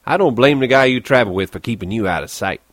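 A man voicing a bitter, lonely older ranch hand who talks gruffly in rough rural dialect. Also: medium pitch, expressive, fast, no room sound, clean studio sound, natural voice. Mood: grudging.

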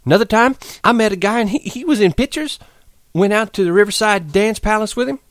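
A man voicing a lonely, restless young woman on a ranch, talking in a quick, coaxing, pleading way. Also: on the high side, big pitch swings, no room sound, clean studio sound, voice pushed up. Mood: aggrieved. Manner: pleading, fast-tumbling, coaxing.

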